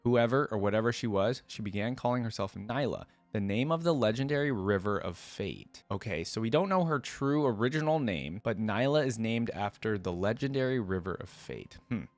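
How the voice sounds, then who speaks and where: A man's voice: lowish voice, lively intonation, moderate pace, few pauses, dry recording. A man hosting a reaction video, reading a story aloud and adding casual, musing commentary as he thinks aloud.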